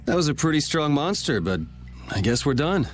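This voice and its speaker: A man's voice: on the high side, very animated, normal speed, faint music behind. An actor playing a cool, laid-back, cocky young swordsman in a video game. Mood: casual.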